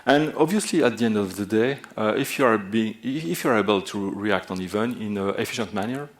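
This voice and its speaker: A man with a Belgian French accent presents a technical session from a large conference stage into a stage microphone. His voice sits a little low, and he pauses often with filler sounds as he explains. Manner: hesitant, many filler sounds. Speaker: conference speaker.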